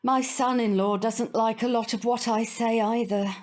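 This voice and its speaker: Radio play: actress as proper British grandmother, set in her ways, fairly high voice, music underneath. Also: fairly even intonation, normal speed, a little mellow, natural voice. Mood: wistful, resigned. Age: older. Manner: prim, proper, hesitant around awkward subjects. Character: prim, old-fashioned grandmother, opinionated and wistful. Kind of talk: audio drama acting.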